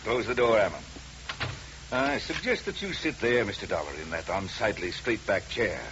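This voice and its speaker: An actor playing a grandiloquent, scheming gentleman villain in a 1950 radio detective drama, speaking in florid, formal phrases. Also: lowish voice, lively intonation, moderate pace, lightly acted. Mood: commanding. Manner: pompous, florid, formal, often saying "sir". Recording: dull, noisy old radio recording with hum.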